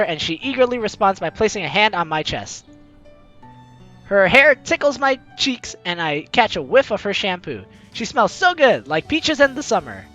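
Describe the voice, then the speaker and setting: A young woman hosting a let's-play, reading game text aloud and commenting on it. Her bright voice swings widely in pitch, with a theatrical, teasing manner, over faint music. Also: lo-fi sound. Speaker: gaming video host.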